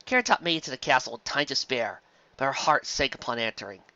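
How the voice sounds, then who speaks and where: Animated male story reader, slightly dull recording. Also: medium pitch, fast, no echo. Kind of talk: dramatized fan fiction narration.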